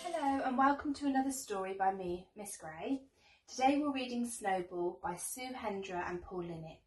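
A young woman reading a rhyming bedtime story aloud to children in an expressive, sing-song storybook manner. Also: mid-range voice, moderate pace, music in the background. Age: young adult. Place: small bedroom, indoors. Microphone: camera a few metres away. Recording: roomy echo, distant microphone.

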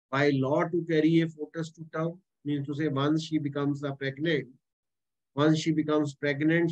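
A male law lecturer with an Indian English accent, pitched a touch higher than most men. He speaks emphatically and keeps circling back to repeat key points and dates. The sound is dull and lo-fi. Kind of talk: exam-prep lecture. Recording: dull lo-fi recording.

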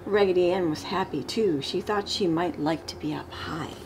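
A middle-aged woman reading a children's picture book aloud with expressive, emphasized storybook delivery. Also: on the low side, moderate pace. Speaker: storytime reader. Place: living room, indoors. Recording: clear background room noise.

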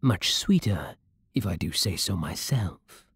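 A man plays a regal, possessive vampire king with a British accent in an ASMR roleplay. The voice is very breathy and close, in a dry recording. He speaks slowly, with haughty, seductive menace. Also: deep voice, animated, dry recording, heavily acted. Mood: smug. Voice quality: breathy, soft. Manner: slow, menacing, haughty purring.

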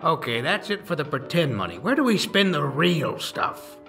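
A man's voice: a little high, big pitch swings, normal speed, creaky, over music. A man playing a quirky older dwarf adventurer in a comic dub, talking in an offhand, bemused way.

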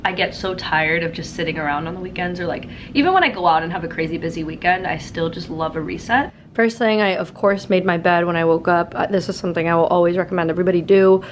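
Twenties woman, casual upbeat vlogger, lowish voice slightly worn from illness, handheld camera mic, faint room noise. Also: quick pace, hardly any pauses. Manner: chatty, upbeat, thinking aloud. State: recovering voice after being sick. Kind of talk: casual vlog chat to camera. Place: apartment bedroom and kitchen, indoors.

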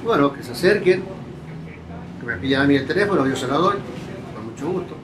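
A man's voice: fairly high pitch, animated, brisk. An older man, a café owner talking plainly and unhurriedly in an interview, trailing off into fillers. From Argentina. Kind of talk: casual interview. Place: small café indoors, facing the camera. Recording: echoey café with crowd noise.

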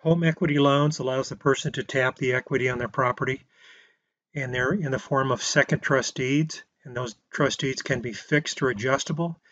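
A man's voice: medium pitch, fairly bright tone, no room sound, muffled recording. A man lecturing on home loans over slides in a plain explanatory way, often restarting his sentences. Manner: plain explanatory delivery with restarts and filler words. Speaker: real estate instructor.

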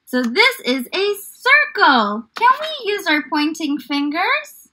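A woman's voice: very high-pitched, very animated, slow pace, sharp tone, a little hiss or hum. A young woman teaching small children in an encouraging, exaggerated child-directed way, full of questions. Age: young adult. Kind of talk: children's lesson and read-aloud. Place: small indoor room.

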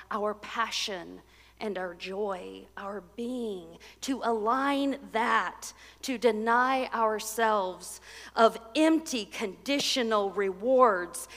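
A woman in her forties preaching at a church pulpit, conversational and playful, then soft and reflective. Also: on the high side. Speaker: pastor. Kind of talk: sermon. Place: church sanctuary, indoors. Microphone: stand mic at a pulpit. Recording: long church reverb.

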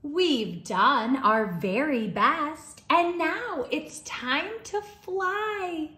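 High-pitched, animated woman reading to children. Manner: bright, deliberate, expressive delivery for young children. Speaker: children's teacher and read-aloud host. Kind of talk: children's storybook read-aloud. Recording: roomy, echoing indoor sound.

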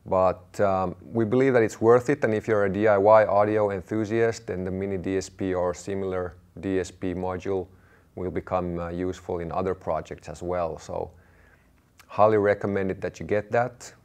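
A man in his thirties with a low-pitched voice walks viewers through a do-it-yourself audio project. He presents to camera indoors, explaining each part plainly and factually. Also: fairly even intonation, moderate pace, a little mellow. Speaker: DIY audio channel presenter. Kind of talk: product walkthrough to camera.

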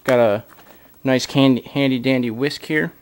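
A man's voice: mid-range voice, steady tone, moderate pace, a little hiss or hum. A man giving a casual product walkthrough as a gear reviewer, filler-heavy, recorded indoors on a camera mic. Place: indoors, camera over a carpeted floor.

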